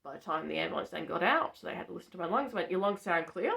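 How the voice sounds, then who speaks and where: Young woman, low pitched, gravelly, hoarse, chatty vlog talk. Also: expressive, quick pace, a bit bright. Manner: chatty and matter-of-fact, with frequent ums. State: hoarse from an allergy reaction. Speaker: vlogger. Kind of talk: casual personal vlog. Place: bedroom at a farmhouse.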